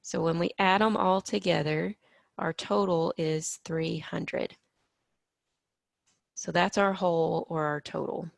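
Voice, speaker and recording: Brief: hoarse, gravelly-voiced woman math teacher, lower pitch, patient step-by-step explaining, dry close sound. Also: steady tone, takes it slowly, pauses often, dry recording. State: slightly hoarse from allergies. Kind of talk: recorded math lesson.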